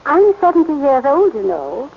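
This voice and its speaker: A genteel, fluttering lady of seventy, high-voiced and fussy, forever sighing over small worries. It has the dull sound and faint hiss of an old radio broadcast. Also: very animated, moderate pace. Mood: fluttery. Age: 70s. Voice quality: fussy, flustered refrains. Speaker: elderly society lady asking for a theft. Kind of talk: 1940s radio acting.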